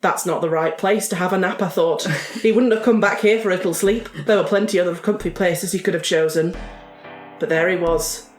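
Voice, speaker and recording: A woman's voice: fairly low pitch, steady tone, fairly quick, echoey room, faint music behind, natural voice. A woman playing a quirky, matter-of-fact investigator who tells her case in the first person with rambling asides. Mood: matter-of-fact, musing. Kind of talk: first-person improvised audio drama narration.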